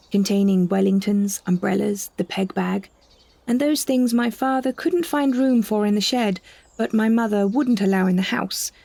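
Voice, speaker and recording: Soft-grained, husky woman narrator. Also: mid-range voice, dry recording. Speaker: solo performer narrating a story. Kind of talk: scripted first-person literary narration.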